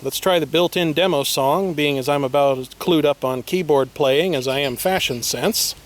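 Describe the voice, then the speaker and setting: A man's voice: on the high side, normal speed. A man hosting a casual vlog with wordy, playfully formal, tongue-in-cheek phrasing and mock seriousness. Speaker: video host. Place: outdoors on a windy day, by a vehicle. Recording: clear noise, windy outdoor recording.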